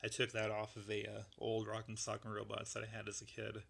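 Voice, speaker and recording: A man with a gravelly voice that is going, casually rambling through a show-and-tell of a model build. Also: lowish voice, monotone, fairly quick, a bit bright. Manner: rambling, laid-back, lots of fillers. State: losing his voice.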